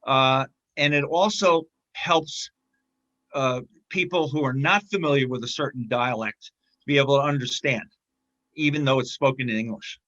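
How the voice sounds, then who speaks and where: A man speaking as a guest in a casual interview, talking haltingly with many fillers and restarts. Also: mid-range voice, bright, forceful tone, dull audio. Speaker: film distributor, interview guest.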